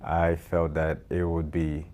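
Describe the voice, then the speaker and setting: A Ghanaian man answering interview questions in a measured way, with many fillers and restarts. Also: a bass voice, monotone, darkish tone, slight background noise. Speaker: guest, nonprofit founder.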